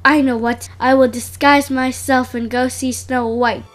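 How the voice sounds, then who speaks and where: A woman's voice: high-pitched, steady tone, measured pace, loud music behind the voice. A woman voicing a vain, scheming evil queen, gloating and imperious in a children's storybook tale. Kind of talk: children's storybook play with dolls.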